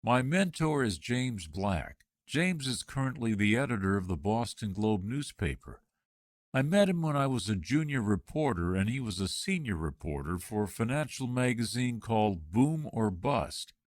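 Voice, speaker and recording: A man reads a candidate's answers in a scripted job-interview practice dialogue. His voice rises and falls a lot, and his delivery stays clear and measured. The recording is close and dry. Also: on the low side, sing-song, dry recording.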